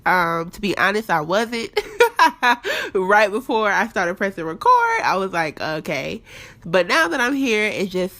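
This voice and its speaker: A woman's voice: medium pitch, very animated, unhurried, pushed and bright. Stuffed-up young woman hosting a podcast. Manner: chatty, candid, addresses listeners directly. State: stuffed-up nose. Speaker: podcast host. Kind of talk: casual faith-based podcast talk. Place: apartment.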